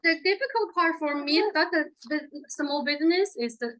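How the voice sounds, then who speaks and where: A woman whose first language is Chinese, applying as a craft vendor before a city board, speaking in halting, careful phrases with restarts. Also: high voice, animated, a bit bright. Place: city board meeting room.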